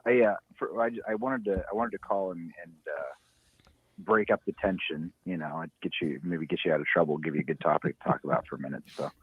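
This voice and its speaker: A low, husky man calls in on a thin, phone-like line. His voice sits very deep, with a dry, rough edge. He rambles in a casual way and often restarts his sentences. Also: brisk, no room sound. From Ohio. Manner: rambling, hesitant with many restarts. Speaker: caller.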